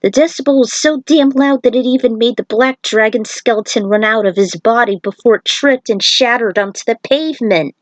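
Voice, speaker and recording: A woman's voice: a little high, a little fast, hardly any pauses, no room sound, rough recording. A woman reading her own fan fiction aloud, breaking into chatty, casual asides about it. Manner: chatty, self-commenting asides.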